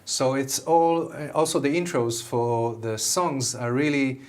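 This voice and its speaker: A man's voice: mid-range voice, a little slow. A middle-aged man with an Israeli accent, a musician answering TV interview questions eagerly, with many restarts. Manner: enthusiastic, many fillers and restarts. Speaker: musician guest.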